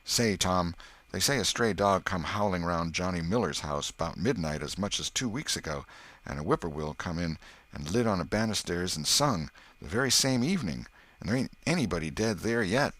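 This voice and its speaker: A man's voice: low voice, animated, moderate pace, fairly bright tone. A man voicing a wary, gloomy country boy with a drawling rural Southern American dialect in an audiobook. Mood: earnest. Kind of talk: audiobook character acting.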